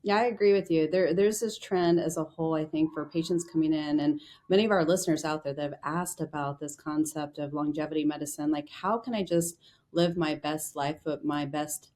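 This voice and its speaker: This is a woman with a slightly low voice. She hosts a podcast and interviews a guest. She asks questions in a relaxed, chatty way and often says "you know.". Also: fairly quick. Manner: conversational, many "you know" fillers. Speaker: podcast host dermatologist.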